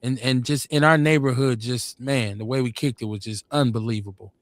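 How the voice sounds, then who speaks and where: A man in his forties telling stories in casual chat, rambling and laid-back, getting animated when quoting others. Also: mid-range voice, fairly quick, no room sound. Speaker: podcast host.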